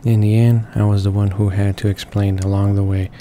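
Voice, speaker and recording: A man reading visual novel text aloud, halting and stumbling over words. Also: fairly low pitch, little pitch movement, mellow. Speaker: gamer reading along. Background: game music.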